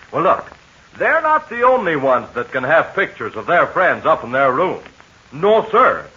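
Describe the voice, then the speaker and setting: A man's voice: on the high side, pushed and bright. Eager 1940s radio announcer man. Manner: eager, chummy sales patter aimed at children. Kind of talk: 1940s radio serial announcing and sponsor pitch. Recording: dull lo-fi 1940 radio transcription.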